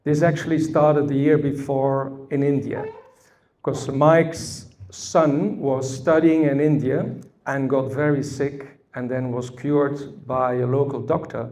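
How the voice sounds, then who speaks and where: A Belgian man giving a conference workshop talk, speaking deliberately with frequent fillers and storytelling asides. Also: mid-range voice, steady tone, a little mellow. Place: conference hall.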